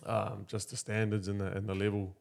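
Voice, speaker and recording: A man in his thirties with a New Zealand accent, chatting as a podcast guest. His voice sits fairly low and has a gravelly, creaky edge. He tells his stories in a relaxed, joking way and pokes fun at himself. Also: brisk. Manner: laid-back, joking, self-deprecating yarns. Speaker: podcast guest, former rugby player. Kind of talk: casual chat.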